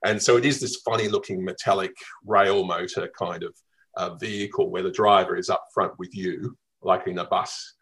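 A middle-aged man from Melbourne with an Australian accent, telling travel stories in a radio interview, reflective and lively, with ums and restarts. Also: deep voice, normal speed, low-quality recording. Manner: reflective, lively storytelling with fillers. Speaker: travel writer guest.

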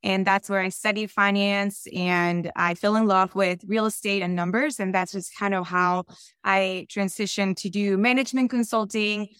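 A woman with a Colombian Spanish accent talks through her answers warmly and casually, with frequent fillers. Her voice is smooth and clear at a middle pitch, recorded dry. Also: steady tone, normal speed, no echo. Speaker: podcast guest, real estate investor. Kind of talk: conversational interview answers.

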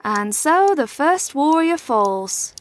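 Audio drama mentor: woman with a notably high voice, formal and measured, poised and gently probing. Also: big pitch swings, heavily acted. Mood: dramatic, musing. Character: poised, probing female mentor and chess player. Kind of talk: scripted audio drama acting.

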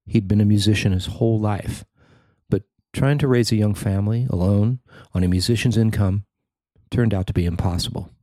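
A man narrating a newspaper feature he wrote, in a measured, even reading. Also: on the low side, no echo, clean studio sound. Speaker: newspaper columnist reading his story. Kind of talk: scripted narration.

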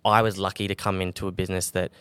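A young man, a brand co-founder, talking calmly as a podcast guest, with lots of fillers and restarts. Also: deep voice, rapid-fire, smooth, dry recording. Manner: calm, relaxed, with many fillers and restarts. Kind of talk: casual podcast interview.

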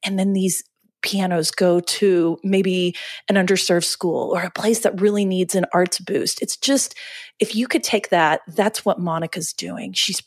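A woman's voice: lowish voice, fairly even intonation, a little slow, no echo. Effusive female host, gushing intro, dry booth sound. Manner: enthusiastic, gushing. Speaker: podcast host.

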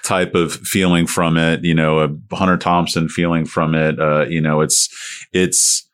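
A man with a very deep voice interviews a guest in a relaxed, conversational way. He wanders through his questions with many fillers and false starts. He sounds middle-aged. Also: unhurried, clear, resonant tone. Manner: rambling, many restarts and fillers. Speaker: podcast host. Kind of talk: casual interview.

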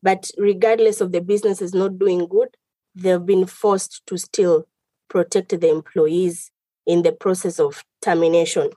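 A woman from Tanzania, a lawyer guest on a podcast, explaining her country's business and employment law in a measured way. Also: lowish voice, steady tone, dark tone, no room sound. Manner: measured, explanatory, lists items. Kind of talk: podcast interview answers.

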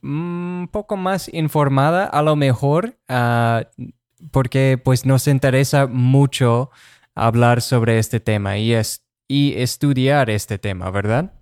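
Man speaking Spanish slowly. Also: medium pitch, darkish tone, smooth, no room sound, clean studio sound. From United States. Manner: hesitant, searching for words with fillers. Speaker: podcast co-host. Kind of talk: casual chat for learners.

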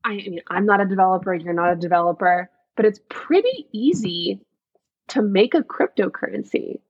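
Brief: young woman podcast co-host, breathy tone, dry slightly dull recording, chatty and giggly. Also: mid-range voice, lively intonation, brisk, no room sound. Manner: laughs often, chatty and curious. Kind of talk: casual podcast chat.

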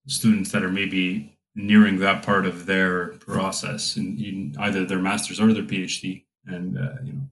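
A young man hosting a relaxed conversation, talking in a thoughtful way with plenty of fillers. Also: low voice, low-quality recording. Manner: reflective, with frequent fillers. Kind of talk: casual conversation.

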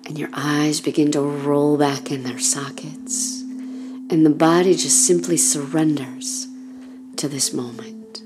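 A woman leading a guided meditation in a soft, breathy, soothing voice with gentle pauses, often close to a whisper. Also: very deep voice. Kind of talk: guided meditation, body scan narration.

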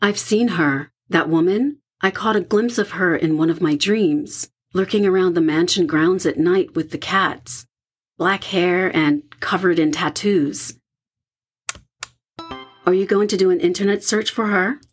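A woman acting a worried, hesitant young woman in an audio horror drama, with a subdued, husky and breathy delivery. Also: lowish voice, measured pace. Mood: uneasy.

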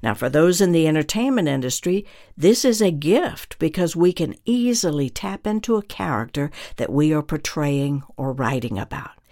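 A minister gives a scripted religious teaching with a medium-pitched voice. The delivery is earnest and deliberate, setting out phrases with emphasis. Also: big pitch swings, darkish tone.

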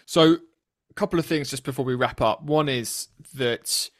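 A British man with a nasal voice, hosting a political podcast in an earnest, serious and somewhat halting way. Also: medium pitch, no echo, studio quality. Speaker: podcast host interviewing a colleague. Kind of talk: conversational political podcast interview.

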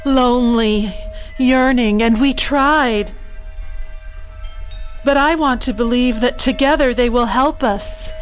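An actress playing a solemn, wistful cult member in an audio play. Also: female voice, fairly high pitch, takes it slowly, long pauses, faint music behind, poor audio.

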